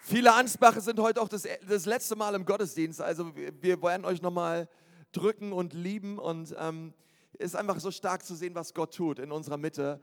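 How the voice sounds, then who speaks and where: High-voiced pastor hyping a church hall. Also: male voice, normal speed. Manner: excited, hyping the crowd, shouting welcomes. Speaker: host pastor. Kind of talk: enthusiastic church announcement. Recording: large hall with long reverb.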